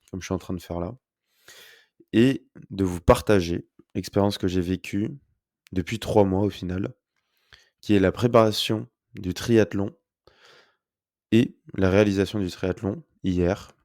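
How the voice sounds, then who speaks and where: A young man with a cold talks through his nose in a deep, low voice, his throat a little sore. He chats in an upbeat, rambling way full of fillers, like a host catching up with his listeners. The close mic sounds dry, with no room around him. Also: a little mellow, no echo. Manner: chatty and upbeat, many fillers. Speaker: podcast host. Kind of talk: casual solo podcast talk. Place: at home. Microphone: close podcast mic.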